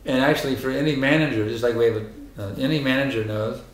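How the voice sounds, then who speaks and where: A hoarse man speaks as a spiritual teacher in a temple, driving his points home with emphatic repetition. His voice sits in a middle register. The hall around him rings with a roomy echo. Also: medium pitch, fast talker. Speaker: spiritual teacher giving a lecture. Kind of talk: temple lecture with questions and answers. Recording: echoey hall.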